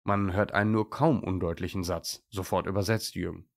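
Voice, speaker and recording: A man's voice: deep voice, steady tone, moderate pace, creaky. A man narrating a scripted children's animal story as a storyteller.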